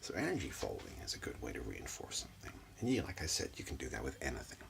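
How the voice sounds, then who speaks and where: A man doing soft-spoken ASMR as an energy healer, murmuring soothingly and repetitively in a breathy, gravelly voice, close up in a small room at home. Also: huge pitch swings, quick pace, background noise. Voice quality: whispery, breathy, low and gravelly. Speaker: ASMR performer giving a reiki session.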